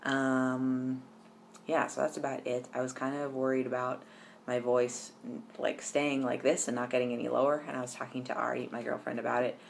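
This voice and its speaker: A man's voice: medium pitch, level tone, moderate pace, creaky, a little hiss or hum. A young man chatting casually to camera in a personal vlog, rambling with lots of ums and restarts. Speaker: vlogger.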